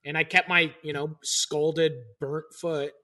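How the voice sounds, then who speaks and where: Excitable male chef, high scratchy boyish voice, very bright tone, rambling delivery. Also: takes it slowly. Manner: excitable, rambling, lots of fillers. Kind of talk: casual chat.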